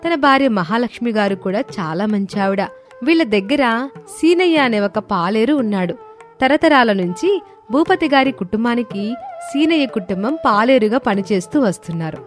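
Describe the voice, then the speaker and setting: Lady telling a kids moral story, bit high pitched, reading it out, soft music under. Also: lively intonation, normal speed. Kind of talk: scripted children's story narration. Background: faint music.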